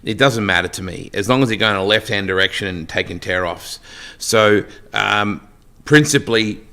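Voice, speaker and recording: A man's voice: fairly low pitch, normal speed, bright, forceful tone. A middle-aged Australian man, a race announcer, chatting as a podcast guest and telling stories with joking asides. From Western Australia. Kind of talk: casual podcast interview.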